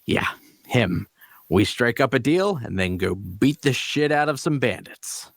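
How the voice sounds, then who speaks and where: A man's voice: medium pitch, animated, measured pace, no echo, studio quality. A man playing a shrewd, blunt adventurer for hire in a fantasy audio drama, probing and matter-of-fact. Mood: blunt, scheming. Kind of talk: audio drama table-read dialogue.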